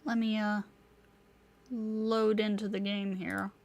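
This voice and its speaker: A woman live-streaming a game, greeting her chat by name and pleading with her streaming software when it will not work. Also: mid-range voice, level tone, slow pace, creaky.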